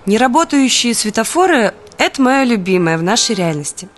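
A woman's voice: on the high side, big pitch swings, a little fast. An actress playing a wry, stubborn young woman in an audio play monologue, speaking with dry irony. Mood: dryly ironic. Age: young adult.

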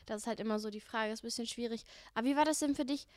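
A woman's voice: a little high, very fast, a little mellow, dry recording, studio quality. An eighteen-year-old woman from Germany, co-hosting a podcast in a casual chat full of youthful slang. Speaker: podcast co-host.

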